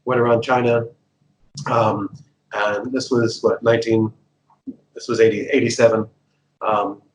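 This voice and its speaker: A man's voice: on the low side, level tone, measured pace, a little hiss or hum, rough recording. A man in his fifties from the United States, a guest telling his life story in a casual interview with fillers, restarts and frequent laughter. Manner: chatty, laughs often, many fillers and restarts.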